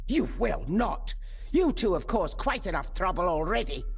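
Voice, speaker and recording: An actress playing a haughty, bitter family matriarch in a video game, with an imperious, cutting manner. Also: female voice, on the low side, sing-song, moderate pace, vocal fry, husky, faint music behind, heavily acted. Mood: stern. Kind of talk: dramatic video game acting.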